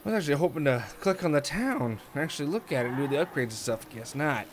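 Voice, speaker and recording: Excitable guy doing casual game commentary, highish lively voice, some noise behind. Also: fast. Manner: excitable, thinking out loud. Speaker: let's play host.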